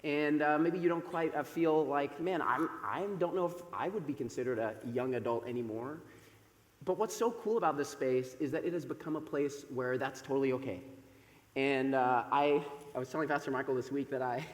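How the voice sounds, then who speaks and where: A man in his thirties, a pastor speaking from the pulpit in a church sanctuary through a microphone, loud and chatty with many fillers. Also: medium pitch, normal speed. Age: 30s. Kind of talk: sermon. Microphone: microphone over a PA system. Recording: echoey church hall with long reverb.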